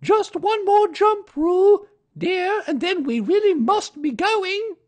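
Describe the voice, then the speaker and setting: Kanga, a man doing a shrill, fussy mother kangaroo. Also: expressive, heavily acted. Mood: impatient. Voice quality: high, squeaky falsetto. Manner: brisk, distracted, ignoring others. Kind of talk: storybook reading.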